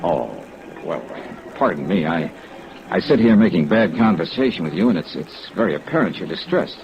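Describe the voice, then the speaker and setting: An actor playing a tough, smooth middle-aged male insurance investigator who narrates his case in a clipped, wry 1950s radio style. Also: low-pitched, very animated, moderate pace. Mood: apologetic. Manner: clipped, wry hardboiled delivery. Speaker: lead actor and narrator of a detective serial. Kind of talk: 1950s radio detective acting. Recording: dull, lo-fi vintage radio recording.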